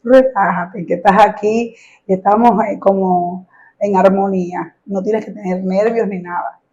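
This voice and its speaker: A woman hosting an interview, asking gentle, encouraging questions. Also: medium pitch, steady tone, unhurried, muffled recording. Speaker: host. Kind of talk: casual interview.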